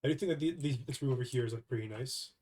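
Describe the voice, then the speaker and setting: A man's voice: medium pitch, rapid-fire, a little mellow. A young man with a cold, sounding stuffy, talking casually and hesitantly through a devlog with many fillers and restarts. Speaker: indie game developer. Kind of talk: casual devlog screen recording.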